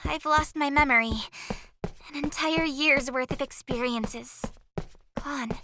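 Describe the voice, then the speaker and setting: A woman playing a naive, earnest young adventurer girl with amnesia in an anime-style game, with a bright, girlish voice. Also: high-pitched, unhurried, background noise. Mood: wistful, subdued.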